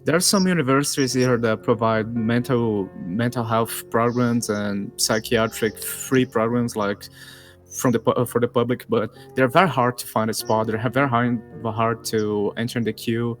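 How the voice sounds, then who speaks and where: A nineteen-year-old man from Brazil, a Portuguese speaker talking English in a halting way with many restarts. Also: mid-range voice, fairly even intonation, faint music behind. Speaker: guest. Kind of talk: casual online group chat.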